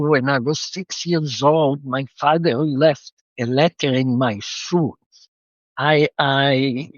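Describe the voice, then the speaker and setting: Older woman guest, unhurried reflective storyteller, slow delivery, slightly dull dry sound. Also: extremely low pitch, no room sound. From Israel. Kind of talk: interview storytelling.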